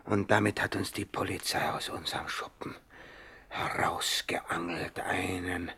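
Husky, jumpy gang boss. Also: male voice, low-pitched, flat delivery, measured pace, whispered, heavily acted. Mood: haunted, confiding. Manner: agitated, dramatic, swinging between loud and hushed. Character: jumpy, nightmare-haunted male gang boss. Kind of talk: 1960s radio comedy acting.

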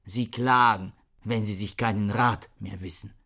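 A man's voice: fairly low pitch, fairly even intonation, unhurried, gravelly, fairly bright tone, heavily acted. An actor playing a bitter, misanthropic scholar in a radio drama, harsh and lecturing in his contempt. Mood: bitter accusing. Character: bitter misanthropic male scholar, scornful older brother. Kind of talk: German radio drama acting.

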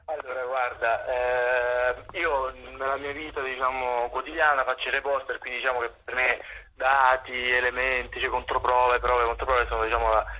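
A man with a husky voice giving conversational, hesitant and discursive answers as a radio interview guest. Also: mid-range voice, flat delivery, normal speed, sharp tone, slight background noise, low-quality recording. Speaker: reporter, playwright and director, interview guest.

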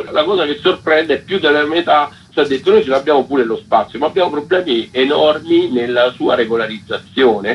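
A man's voice: mid-range voice, slightly rough, lo-fi sound. A man being interviewed on radio, explaining research findings at length as a guest.

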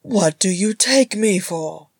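A woman voicing a jovial, doting male dwarf warrior in a storybook, gruff and mock-solemn. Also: fairly low pitch, animated, a little slow, a little mellow, dry recording, clean studio sound. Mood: boastful, teasing. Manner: mock-solemn, playful, teasing.

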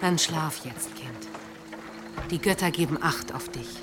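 Sombre mother, slightly low pitch, soothing, radio drama delivery, music behind. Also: unhurried. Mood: protective, soothing. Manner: soothing, grave. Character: sombre, protective mother calming her sick daughter. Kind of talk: German radio drama acting.

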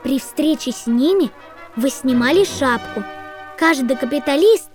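An actress playing a dutiful woman reciting a party history textbook in a deliberate, didactic audio-drama manner. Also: high voice, lively intonation, darkish tone, soft background music. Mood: solemn, didactic.

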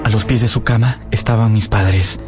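A man's voice: fairly low pitch, fairly even intonation, moderate pace, over music, lightly acted. An actor playing a tender, melancholy young man in love, narrating in a radio drama.

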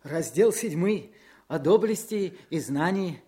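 High-voiced, humble village church scribe. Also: male voice, expressive, slow, mellow, husky, heavily acted. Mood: humble, devoted. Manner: deferential, earnest, old-fashioned churchly speech. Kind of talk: Soviet radio drama acting.

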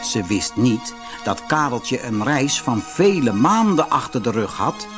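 A man narrating a scripted fairy tale as an expressive audiobook storyteller. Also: medium pitch, unhurried. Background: music.

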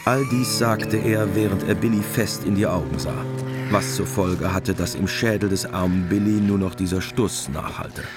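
A man's voice: deep voice, moderate pace. A man narrating a radio drama in a scripted literary style. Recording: clear music bed behind.

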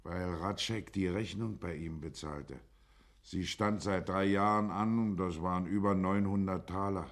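An actor playing a plain-spoken small-town neighbour in a German radio drama, measured and deferential in manner. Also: male voice, low voice, steady tone.

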